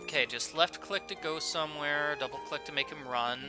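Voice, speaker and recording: A man's voice: on the high side, fairly quick. A man giving casual, playful commentary as he hosts a video game playthrough. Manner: chatty, playful, informal. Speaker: gaming video host. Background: faint game music.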